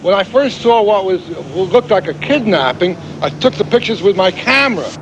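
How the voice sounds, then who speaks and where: A man's voice: high voice, animated, normal speed, highs cut off, noisy background. An actor playing a shaken male tourist eyewitness, giving a plain, hesitant account in a news interview.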